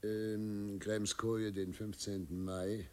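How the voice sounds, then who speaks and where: An actor playing a grave, formal gentleman dictating a letter in a radio drama, measured and halting. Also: on the low side, level tone.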